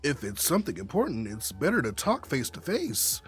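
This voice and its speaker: A streamer voicing a polite, considerate young male coworker in a visual novel, calm and measured. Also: medium pitch, slightly rough, soft background music, voice pushed down, exaggerated voice. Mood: gentle, understanding.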